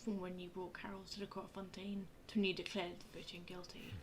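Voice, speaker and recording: A woman's voice: on the low side, little pitch movement, fast, slight background noise. A woman giving casual, informal playthrough commentary as a game streamer, in a joking manner.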